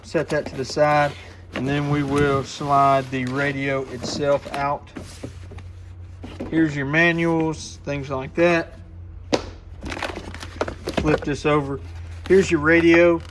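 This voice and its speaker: A man with a slightly high voice walks through a product in a casual, folksy manner. He explains each step plainly, like a shop owner showing his goods. There is faint noise and some clicking in the recording. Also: little pitch movement, takes it slowly, pauses often. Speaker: radio shop owner presenting a product demo. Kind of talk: casual hands-on product walkthrough. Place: radio shop, indoors.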